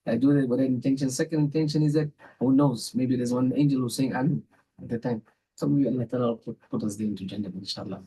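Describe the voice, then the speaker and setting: A man's voice: mid-range voice, fast, dark tone, slight background noise, low-quality recording. A man with a South African accent sharing religious reflections conversationally as a scholar, repeating phrases for emphasis. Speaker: Islamic scholar, podcast guest.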